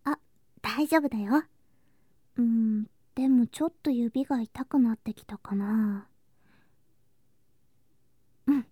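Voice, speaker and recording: Woman acting as doting younger girl cousin, high voice, unhurried pace, soft coaxing delivery, playful, whispery touches, ASMR roleplay. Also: slightly rough, no echo. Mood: caring, affectionate. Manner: soft, sweet, coaxing, drifting into whispers and singsong. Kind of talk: ASMR situation voice drama, intimate roleplay to listener.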